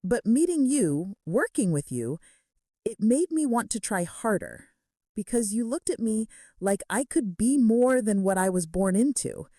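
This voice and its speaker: A brooding young mafia boss, as a woman narrator plays him, confessing with earnest intensity and remorse. The pitch swings in a lively way, and the sound is dry and close, as in a romance audiobook. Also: a little slow, no echo. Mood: earnest, vulnerable.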